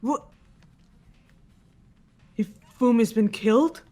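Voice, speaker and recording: A woman voicing a steady, serious young woman in an amateur mystery game dub, direct and commanding. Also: fairly high pitch, slow, soft background music. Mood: urgent.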